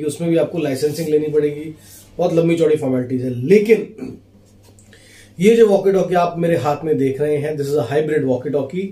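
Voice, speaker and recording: Mellow-voiced man presenting, roomy echo. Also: on the high side. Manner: explanatory, frequently switching into English phrases. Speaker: product reviewer and seller. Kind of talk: casual unboxing and product demonstration. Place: small indoor office. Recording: roomy echo, faint background noise.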